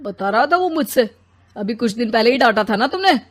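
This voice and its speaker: A woman voicing a grumpy, demanding husband and father in an animated family story, complaining and dismissive. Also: on the high side, fairly quick.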